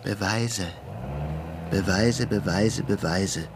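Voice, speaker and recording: An actor in a German radio drama playing a suspicious young-republic-era army lieutenant, questioning in a terse, demanding way. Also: male voice, fairly low pitch, slow, background noise. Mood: skeptical, demanding.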